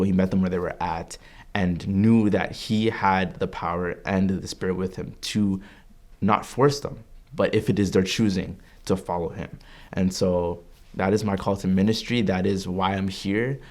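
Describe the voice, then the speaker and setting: A man's voice: low-pitched, steady tone, unhurried, darkish tone. A man in his early twenties from Canada, a religious studies student giving an earnest, reflective personal testimony with frequent fillers and restarts. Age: 20s.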